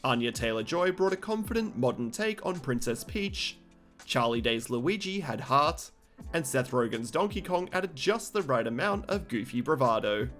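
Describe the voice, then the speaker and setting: A man delivers scripted review narration as the host of a countdown video. His pitch moves in a lively way around an ordinary male register, with faint music playing underneath. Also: moderate pace.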